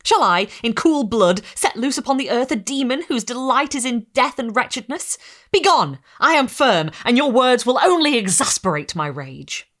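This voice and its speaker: A woman voicing a defiant young male scientist who speaks loudly and forcefully, refusing and resolute. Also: fairly high pitch, animated, normal speed, pitched above the performer's own voice. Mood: defiant, furious.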